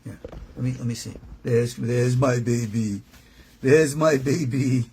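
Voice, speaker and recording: Gravelly male rabbi, blunt and emphatic. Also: mid-range voice, steady tone, a little slow, mellow. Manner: blunt, emphatic, acts out imagined dialogues. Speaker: rabbi and teacher at a Torah school. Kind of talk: informal religious lesson, preaching to students.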